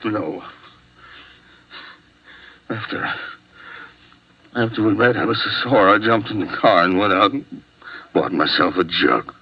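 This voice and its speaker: An actor playing a hot-tempered, jealous and desperate husband on the run, intense and menacing or pleading, in 1950s radio drama style. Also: male voice, fairly low pitch, slow pace, pauses often, breathy edge, heavily acted. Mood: bitter, weary. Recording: dull, lo-fi old radio recording.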